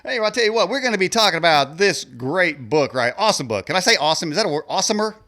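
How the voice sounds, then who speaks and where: A man's voice: high-pitched, sing-song, rapid-fire, bright, forceful tone. A man hosting a radio interview, folksy and joking in a casual way. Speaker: radio host.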